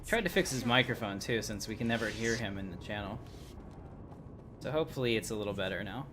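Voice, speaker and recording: A man's voice: fairly low pitch, lively intonation, quick pace, background noise. A man casually commenting on a game as he streams it, rambling and easygoing with plenty of fillers. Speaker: livestream gamer.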